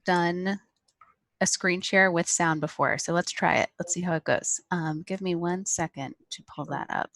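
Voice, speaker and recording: Brisk woman on a dry call. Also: fairly low pitch, fairly even intonation, a bit bright, dry recording. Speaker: meeting tech host.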